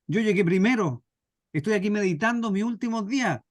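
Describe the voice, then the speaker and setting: A man's voice: high-pitched, animated, brisk. A man voicing an indignant, stubborn man who scolds politely in a comic anecdote. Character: indignant, stubborn man guarding his turn on a bridge. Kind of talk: darkly comic acted anecdote.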